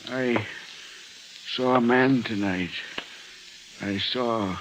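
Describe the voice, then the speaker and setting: An actor playing a guilt-ridden old railway man in an old-time radio mystery, halting and brooding. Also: medium pitch, a bit bright. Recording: dull, noisy vintage radio recording.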